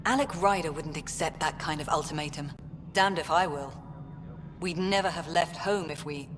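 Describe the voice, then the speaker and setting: An actress playing a jaded, blunt and sardonic colony director in a video game, in a noisy, echoing space. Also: female voice, deep voice, moderate pace, lightly acted. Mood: angry, bitter. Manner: sardonic, terse. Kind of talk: video game dialogue acting.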